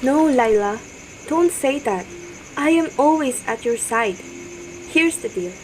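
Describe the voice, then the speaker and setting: A woman's voice: high-pitched, animated, a little slow. A woman playing an earnest, devoted young man in love in an amateur radio drama. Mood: earnest, reassuring. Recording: faint noise with chirping crickets.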